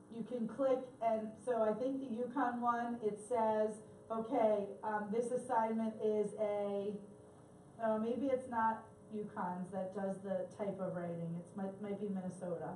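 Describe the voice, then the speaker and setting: A woman's voice: mid-range voice, level tone, takes it slowly, warm tone, a little hiss or hum. A woman leading a workshop in a casual, chatty way, often correcting herself and apologizing, her voice worn and slipping into coughs. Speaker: workshop presenter. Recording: echoey room from far off.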